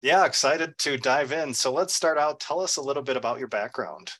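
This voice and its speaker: A man's voice: medium pitch, brisk, fairly bright tone. A man hosting a podcast, interviewing a guest in a conversational style.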